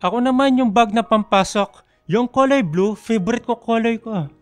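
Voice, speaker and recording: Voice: mid-range voice, moderate pace, no echo, natural voice. Performer playing a cocky, playful schoolboy little brother. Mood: delighted. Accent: Filipino. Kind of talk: radio drama acting, casual banter. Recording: dry voice with music bed.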